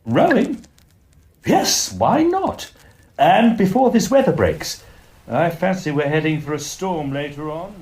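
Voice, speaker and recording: A man's voice: a little high, big pitch swings, a little slow, darkish tone, slight background noise. A man playing a genial, studious young Oxford student in a Victorian audio drama, with a British accent and polite, clipped period speech. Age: young adult.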